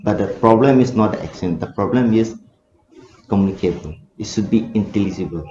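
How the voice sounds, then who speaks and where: Deep-voiced Manipuri man, hesitant. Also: fairly quick, dark tone, a little hiss or hum. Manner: hesitant, many fillers and rhetorical "right?". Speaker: research student presenting. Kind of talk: academic discussion, answering questions. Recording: echoey room, dull lo-fi audio.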